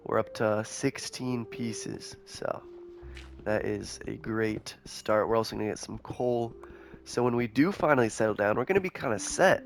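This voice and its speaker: A young man giving casual, rambling commentary over a game, excitable and chatty with viewers, his voice a little nasal from a cold. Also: on the low side, normal speed, vocal fry, faint music behind. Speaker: gaming YouTuber.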